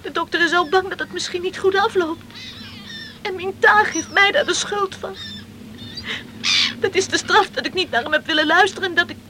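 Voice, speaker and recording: A woman's voice: very high-pitched, moderate pace, pauses often, bright, forceful tone. An actress playing a distraught, reproachful young island woman in a Dutch radio play, tearful and pleading. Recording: old radio recording with faint noise.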